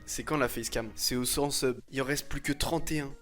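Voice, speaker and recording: A man, chatting casually on a livestream in a slangy, teasing, expressive way. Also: mid-range voice, a little fast, soft background music. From France. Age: 20s. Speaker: livestreamer reacting to images and chat. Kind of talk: casual chat, livestream commentary.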